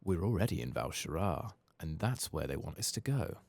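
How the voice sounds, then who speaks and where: A man speaking softly, sometimes whispering, as he hosts an ASMR game playthrough with murmured asides. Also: deep voice, big pitch swings, moderate pace, dry recording. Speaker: ASMR gaming host.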